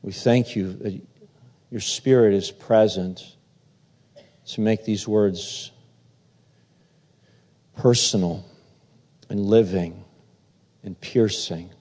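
Slow, mellow-voiced male pastor. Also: fairly low pitch. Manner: halting, filler-heavy, then steady reading. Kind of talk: sermon with scripture reading.

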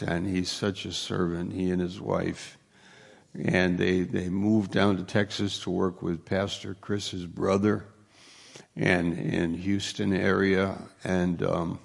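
A male pastor preaching a sermon and then introducing a guest, speaking slowly and with emphasis. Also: sits low, vocal fry, husky.

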